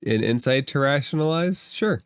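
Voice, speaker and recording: A man's voice: medium pitch, animated, moderate pace, clear, resonant tone, no echo, studio quality. A man running an improvised tabletop roleplaying game as game master, talking in an informal, conversational way.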